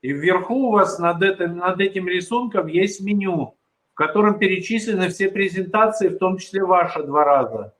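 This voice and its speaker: A man's voice: high-pitched, moderate pace, fairly bright tone. A man working as a conference tech moderator, patiently talking a speaker through uploading and flipping slides on a video call. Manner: patient, instructive, talks through steps. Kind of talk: casual tech support over video call.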